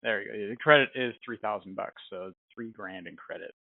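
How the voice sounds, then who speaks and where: A man's voice: fairly low pitch, brisk, vocal fry. A man in a casual business phone chat, speaking as a company representative in a friendly, informal, explanatory way with frequent chuckles. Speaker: company representative calling a prize winner. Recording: band-limited phone-like sound, roomy echo.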